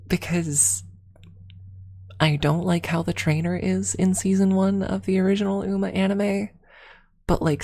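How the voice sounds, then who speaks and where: A woman's voice: fairly low pitch, warm tone. A woman streamer rambling casually to her viewers on an ASMR livestream, talking in a gushing, hyperbolic fan's manner. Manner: gushing, hyperbolic fan talk. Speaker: streamer answering viewer chat.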